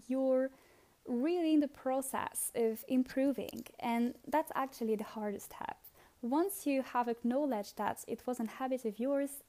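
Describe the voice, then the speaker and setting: Chatty French-accented woman, fairly high voice. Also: warm tone. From France. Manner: chatty, repeats words, frequent um and uh fillers, light laughs. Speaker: podcast host. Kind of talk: casual solo podcast advice.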